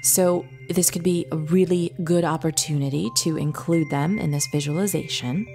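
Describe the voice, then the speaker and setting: A woman leading a guided visualization meditation in a gentle, soothing, instructive manner with long pauses. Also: low-pitched, fairly even intonation, vocal fry. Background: background music.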